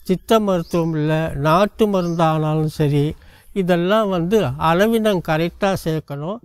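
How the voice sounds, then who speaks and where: Older man with a high, clean voice as a traditional healer, talking calmly to camera outdoors and listing things one at a time. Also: clean tone, no room sound. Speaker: traditional medicine practitioner. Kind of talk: explaining to camera. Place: outdoors among trees.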